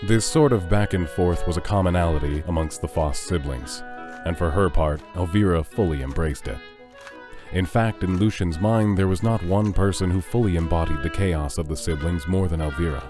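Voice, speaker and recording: A man's voice: sits low, normal speed, over music. A man narrating a scripted audio drama as a storyteller.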